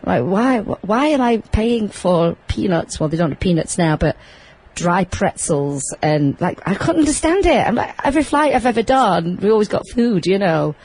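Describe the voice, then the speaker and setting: A middle-aged woman hosting a pet talk show, loud and chatty, laughing often. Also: fairly low pitch, dry recording, low-quality recording. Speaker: podcast host. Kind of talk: casual chat.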